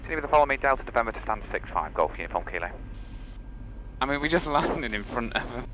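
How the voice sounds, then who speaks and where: A man's voice: medium pitch, big pitch swings, fast, background noise. A man piloting a light aircraft, switching between casual cockpit chat and radio calls.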